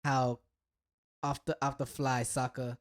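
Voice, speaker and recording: A woman's voice: very deep voice, little pitch movement, unhurried. A woman co-hosting a podcast in casual chat, stopping now and then to hunt for a word and joking about it. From United States. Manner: searches for words, self-deprecating. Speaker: podcast co-host.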